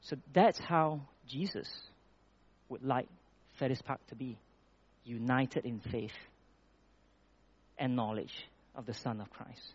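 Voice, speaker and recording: A man's voice: medium pitch, takes it slowly, breathy edge, lo-fi sound. Man from Malaysia preaching a sermon. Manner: reads scripture with rising emphasis, then talks plainly with restarts.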